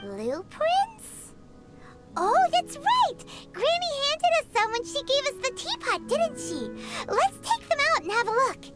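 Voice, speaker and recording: A woman voicing a tiny, excitable female fairy companion in a video game, squeaky and childlike, blunt and exclamatory. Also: a little slow, heavily acted. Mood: curious, eager. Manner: exclamatory, blunt, speaks in third person. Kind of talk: video game character dialogue. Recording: jingly children's music under the voice.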